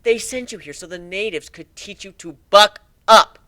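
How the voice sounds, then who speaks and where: A man's voice: high-pitched, moderate pace, sharp tone, no echo, pitched above the performer's own voice. A man voicing a brash, sarcastic, taunting and exuberant male griffin pirate captain in a dramatic story reading.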